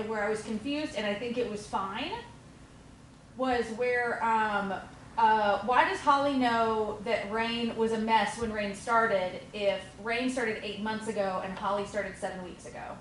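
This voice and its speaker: A woman's voice: on the high side, unhurried. A woman speaks her mind plainly and colorfully, swearing without hesitation. She sounds set back from the microphone. A roomy echo and faint noise surround her voice. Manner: frank and animated, swears casually. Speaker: audience member giving notes. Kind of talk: casual feedback discussion. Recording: echoey room, distant mic.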